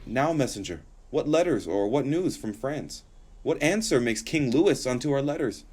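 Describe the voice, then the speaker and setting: Guy playing bossy proud shakespeare king, very animated, theatrical verse. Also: mid-range voice, moderate pace, noisy background, natural voice. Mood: indignant. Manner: imperious, theatrical verse delivery. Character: headstrong, haughty young English king. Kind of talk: Shakespearean audio drama acting.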